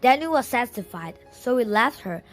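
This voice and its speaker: A woman's voice: a little high, expressive, normal speed, faint music behind. A woman reading a children's novel aloud as a first-person storyteller. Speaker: audiobook reader.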